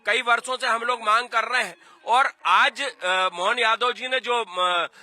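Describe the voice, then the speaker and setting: A man's voice: very high voice, unhurried, sharp tone. A man arguing emphatically in a street interview, repeating himself and asking rhetorical questions. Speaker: activist spokesman for a cultural-religious organisation. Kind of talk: street news interview, opinionated argument.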